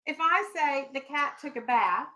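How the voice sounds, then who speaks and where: A woman's voice: high voice, lively intonation, unhurried, pushed and bright. A woman elementary school teacher leading a classroom reading lesson and read-aloud, asking questions and instructing firmly. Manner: instructional, questioning, firm with pupils.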